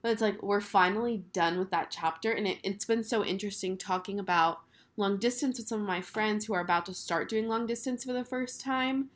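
A woman in her twenties hosting her own podcast, talking casually with lots of fillers while hoarse from a cold. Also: medium pitch, brisk. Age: 20s. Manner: chatty, filler-heavy, upbeat. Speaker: podcast host. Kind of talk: casual solo podcast chat.

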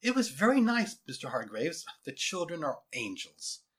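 A man's voice: fairly high pitch, sing-song, normal speed, breathy edge. A man voicing a polite, composed woman schoolteacher in her thirties for a story. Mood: pleasant.

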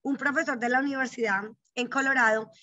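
A woman's voice: high voice, fairly even intonation, brisk, bright, forceful tone, no room sound. A middle-aged woman, a psychologist and banking executive, telling her life story as an interview guest in an earnest, reflective way, often checking in with short tag questions. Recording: dull, dry, slightly lo-fi.

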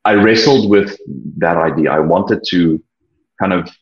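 A young South African man with a deep, husky voice speaks earnestly about his past, often stopping and restarting mid-thought. Afrikaans is his first language, and the recording sounds dull and lo-fi. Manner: earnest, halting, many restarts. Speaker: interview guest. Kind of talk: personal testimony, reflective.

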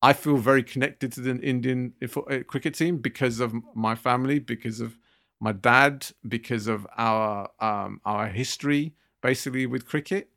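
A man's voice: mid-range voice, steady tone, moderate pace, gravelly, fairly bright tone. A man in his thirties co-hosting a podcast, talking earnestly with many fillers and restarts. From Britain. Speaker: podcast co-host. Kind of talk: casual podcast discussion.

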